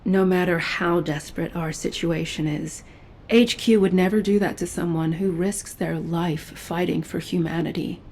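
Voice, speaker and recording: An actress playing a stern but quietly caring female special forces agent in video game dialogue, measured, dry and matter-of-fact. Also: on the low side, fairly even intonation.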